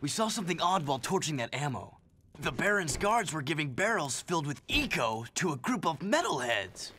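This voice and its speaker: A terse young hero. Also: male voice, fairly high pitch, big pitch swings, brisk, sharp tone, a little hiss or hum. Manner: terse, impatient. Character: young male hero, blunt and determined. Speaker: game character.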